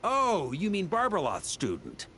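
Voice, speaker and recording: A man's voice: high-pitched, wildly swooping pitch, measured pace, very noisy, full character voice. A man voicing an easygoing, confident seasoned knight in a video game, with a breezy, casual manner. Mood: breezy, teasing.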